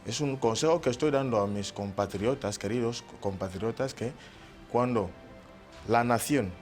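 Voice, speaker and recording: A man from Equatorial Guinea speaking as a guest on a studio panel, giving measured advice and quoting proverbs. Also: mid-range voice, darkish tone, soft background music.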